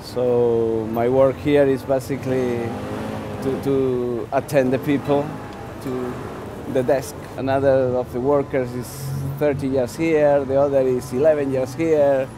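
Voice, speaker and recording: A man's voice: mid-range voice, flat delivery, unhurried, over music. A man from Argentina, a native Spanish speaker, describing his work at a petrol station in simple English, searching for words. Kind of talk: documentary interview.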